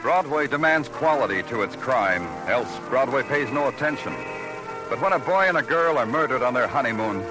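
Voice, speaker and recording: An American actor playing a curt, world-weary police detective in an old radio noir drama, with terse, deadpan delivery. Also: male voice, medium pitch, normal speed, over music, close to an everyday voice, low-quality recording. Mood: brooding, grim. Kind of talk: 1940s-50s radio noir acting and narration. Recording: old radio broadcast recording.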